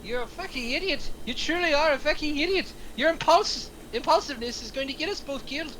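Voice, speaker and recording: Someone doing a high-strung getaway driver, shrill n bright, sweary and berating, bit of background noise. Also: animated, a little fast, low-quality recording. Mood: exasperated. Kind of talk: amateur script table read.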